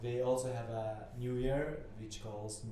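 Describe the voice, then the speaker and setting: A young man from Tajikistan whose first language is Tajik, speaking as a guest in casual chat, slow and halting with many fillers. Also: medium pitch, fairly even intonation, warm tone, recorded from a distance, a little hiss or hum. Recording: echoey room, off-mic.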